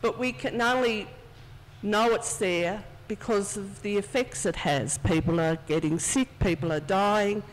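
Children's talk speaker, chatty and unhurried; distant, reverberant church sound. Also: medium pitch. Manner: chatty, conversational storytelling.

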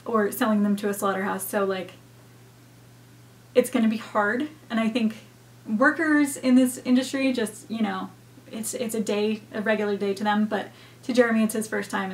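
A young woman hosting a reaction video from a room at home, talking casually and thoughtfully with many fillers. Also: medium pitch.

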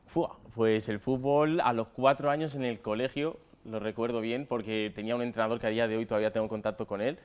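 A man's voice: mid-range voice, steady tone, brisk, hardly any pauses, smooth. A man of twenty with a Madrid Spanish accent, answering casually in an interview as a footballer. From Spain, Madrid area. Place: stadium dugout with plastic seats.

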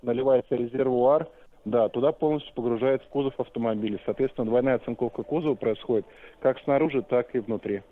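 A man's voice: medium pitch, fast. A man working as a car salesman, speaking politely and explaining in a businesslike way over a narrow phone line. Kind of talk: customer phone call. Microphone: telephone.

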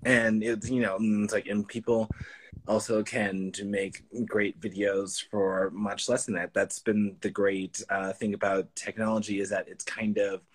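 A man's voice: fairly low pitch, level tone, unhurried, husky, rough recording. A young man speaking reflectively in a casual live video call, with loose phrasing and fillers, recorded on a phone close up at home. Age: young adult. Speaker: artist in conversation. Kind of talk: casual live-stream chat. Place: indoors at home. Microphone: phone close to face on a video call.

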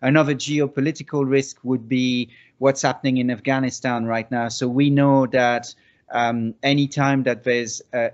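French man presenting over a video call. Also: mid-range voice. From France. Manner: hesitant, many restarts and fillers. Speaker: conference speaker. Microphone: video call laptop mic. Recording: dull lo-fi video call audio.

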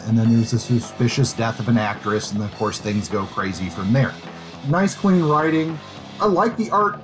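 A man's voice: mid-range voice, rough recording. A man hosting a podcast, giving informal, enthusiastic reviews in a conversational way. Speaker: podcast host and book reviewer.

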